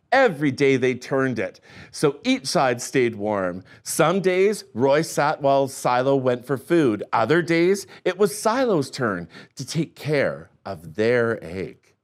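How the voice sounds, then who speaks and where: A man reading a picture book aloud to children in an animated, expressive storytime manner with playful asides. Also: on the high side. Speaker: storytime reader.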